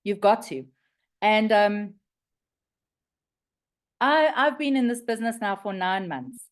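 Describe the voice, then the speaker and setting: A South African woman in her fifties, raspy from recovering from flu, sharing her story frankly on a group call. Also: mid-range voice, animated, slow. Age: 50s.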